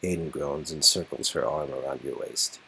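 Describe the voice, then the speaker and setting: A man's voice: extremely low pitch, normal speed, vocal fry, sharp tone, a little hiss or hum, poor audio. A man narrating a romantic game story in scripted second-person prose.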